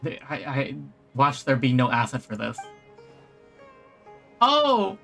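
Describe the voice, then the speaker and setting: Game streamer, very animated pitch, unhurried, gushing casual commentary, background music. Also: vocal fry. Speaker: streamer playing a video game.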